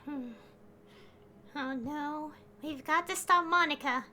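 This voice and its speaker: A bright, fairly high woman's voice with music behind it. She is a game streamer reacting casually and slowly, often hesitating as she searches for words. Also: slight background noise. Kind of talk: casual live reaction commentary.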